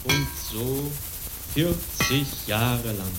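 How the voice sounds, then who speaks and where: A man's voice: medium pitch, drawn-out words, loud music behind the voice, poor audio. A man playing a solemn storyteller who recounts an old legend in a radio drama. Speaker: narrator. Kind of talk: scripted radio drama narration.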